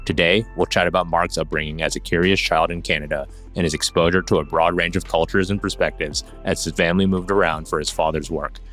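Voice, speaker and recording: Male podcast host, very low voice, loose hesitant interview style, faint music under it. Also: fairly even intonation, fast talker, few pauses, fairly bright tone. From United States. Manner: hesitant, many fillers and restarts in questions. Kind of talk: casual interview chat.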